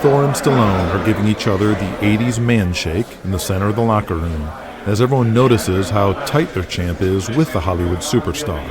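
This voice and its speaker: A man's voice: fairly low pitch, animated, fairly quick, a crowd in the background. A man reading scripted dramatic narration in the present tense, setting scenes like a storyteller. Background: music under the voice.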